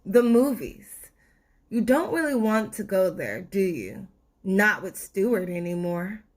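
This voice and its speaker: A woman narrating a children's storybook expressively, with deliberate, dramatic phrasing. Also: medium pitch, lively intonation. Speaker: storybook reader.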